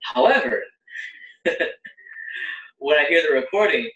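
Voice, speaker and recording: A man's voice: a little high, expressive, unhurried, pushed and bright, distant mic, highs cut off. A young man chatting casually as a host, recorded in a roomy space away from the mic. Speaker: host and choir bass singer. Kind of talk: casual chat.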